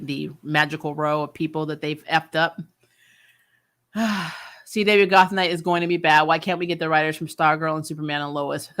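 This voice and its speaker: Bright-voiced woman, blunt exasperated reviewer. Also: low-pitched. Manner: exasperated, blunt and sweary, laughs while ranting. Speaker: host of a TV review show. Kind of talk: live casual opinionated review chat.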